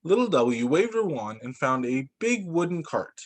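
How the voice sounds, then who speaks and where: Animated, slightly husky man reading storybooks. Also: a little high, normal speed. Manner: expressive, acts out dialogue for young children. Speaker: teacher. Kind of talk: children's storybook read-aloud.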